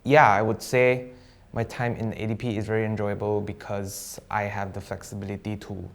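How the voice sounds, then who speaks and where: A young man from Sabah, a student guest chatting casually on a live stream, relaxed and jokey with slang and hesitant fillers. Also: lowish voice, normal speed, creaky. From Sabah, East Malaysia. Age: young adult.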